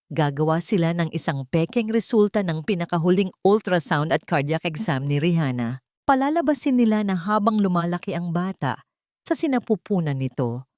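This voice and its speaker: A woman's voice: fairly low pitch, a little mellow, no echo, clean studio sound. A woman reading a scripted romance story as its narrator, in a dramatic storytelling style.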